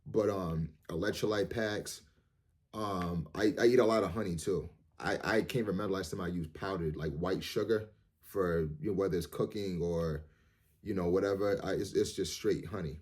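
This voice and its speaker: A man in his thirties talking casually to his viewers in a rambling, earnest, motivational way, repeating words and filling pauses with "um" and "you know". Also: sits low, darkish tone. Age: 30s. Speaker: vlogger. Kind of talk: casual monologue to camera.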